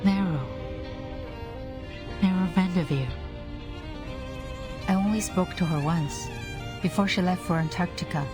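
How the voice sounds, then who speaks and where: A woman's voice: lowish voice, unhurried, long pauses, lightly acted. An actress playing a modest, dutiful female subordinate in a video game, speaking in a careful, deferential, formal way. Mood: somber, reflective. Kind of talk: dramatic video game voice acting. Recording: music under the voice. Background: background music.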